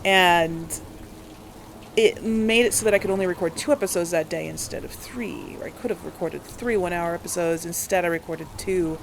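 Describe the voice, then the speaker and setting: A woman's voice: lowish voice, normal speed, noisy background. A woman hosting a casual let's play, chatting to viewers and talking to herself as she plays, with exclamations. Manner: talks to self while playing, exclaiming. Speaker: gaming video host.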